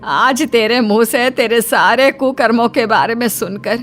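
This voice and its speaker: A woman voicing a concerned older parent in a story dialogue, measured and troubled. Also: on the high side, fairly bright tone. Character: concerned older parent addressing a grown child. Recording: music bed under the voice.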